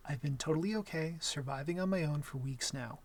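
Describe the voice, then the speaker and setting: A shy young male vampire, acted by a man, speaks in a soft, breathy voice pitched a little high. He is hesitant and apologetic, stammering through his words in an intimate ASMR roleplay style. He comes across as gentle, awkward and smitten. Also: fairly quick, lightly acted. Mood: nervous, earnest.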